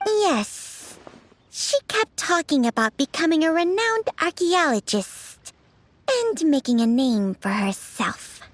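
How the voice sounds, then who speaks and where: A woman's voice: high-pitched, sing-song, slow pace, dry recording, heavily acted. A woman voicing an earnest, innocent young girl in a video game, childlike and slightly hesitant.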